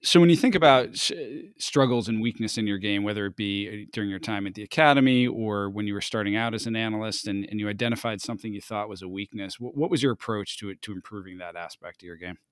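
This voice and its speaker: Fast-talking male interviewer. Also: fairly low pitch, clean tone, dry recording, clean studio sound. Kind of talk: podcast interview questions.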